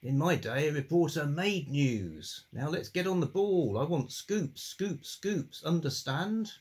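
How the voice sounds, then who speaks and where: A man's voice: a little high, expressive, a little slow, slightly rough, voice pushed up. A man voicing a blustering, cigar-chomping male newspaper editor who thumps the table and demands results. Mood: bossy.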